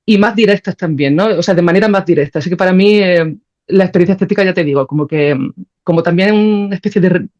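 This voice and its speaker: A woman's voice: fairly low pitch, fast, a little mellow, dull audio. A woman with an accent from Spain talking reflectively and informally in an interview, thinking aloud and often checking in with little tag questions. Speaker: podcast guest, composer and philosopher. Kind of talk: spontaneous, reflective interview conversation.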